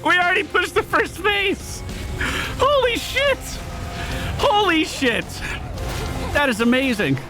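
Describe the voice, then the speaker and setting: A man giving casual gameplay commentary in an excitable way, given to swearing when thrilled. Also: very high-pitched, takes it slowly, long pauses. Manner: excitable, profane exclamations. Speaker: gaming video host. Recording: game music behind voice. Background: video game music.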